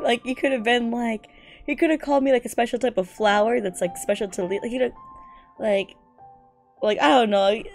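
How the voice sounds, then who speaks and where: A young woman, a non-native English speaker, reading aloud and commenting casually as a streamer, slangy, profane, giggly and exasperated. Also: mid-range voice. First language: not English. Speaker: streamer reacting to fan fiction.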